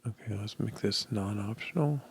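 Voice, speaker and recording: A middle-aged man thinking aloud as he codes, musing and halting as if muttering to himself, in casual commentary. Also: on the low side, creaky, background noise. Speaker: programmer live-coding. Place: indoor room at a desk.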